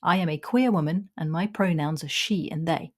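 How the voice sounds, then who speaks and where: A woman hosts a podcast and reads her opening script clearly before turning to her guest. Her voice sits a little low for a woman. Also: moderate pace, a little mellow. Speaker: podcast host. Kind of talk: scripted intro and interview.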